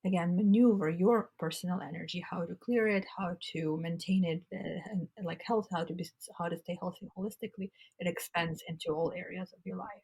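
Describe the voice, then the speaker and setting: A woman co-hosting a casual spiritual podcast chat, with a raspy, congested voice, speaking earnestly and often restarting her phrases. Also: lowish voice, steady tone, a little fast, few pauses, darkish tone, rough recording. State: congested throat. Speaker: podcast co-host.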